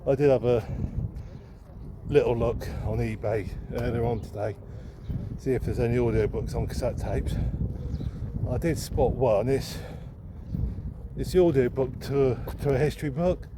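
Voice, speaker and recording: Casting: male vlogger, mid-pitched, hesitant and unscripted, musing aloud outdoors with clear traffic noise behind. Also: a little slow. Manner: rambling, hesitant, thinking aloud. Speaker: second-hand bookseller and vlogger. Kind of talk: casual unscripted vlog musing. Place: outdoors, walking along a town street. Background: street ambience and vehicles.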